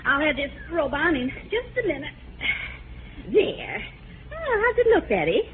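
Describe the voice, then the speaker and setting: An excitable housewife in an old radio comedy. Her extremely high voice swoops about, fussy and fluttery. The recording is tinny with slight noise. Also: unhurried, full character voice. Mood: cheerful, fussy. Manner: fluttery, chatty, easily flustered. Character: fussy, excitable middle-class housewife and mother. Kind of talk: 1940s radio comedy acting.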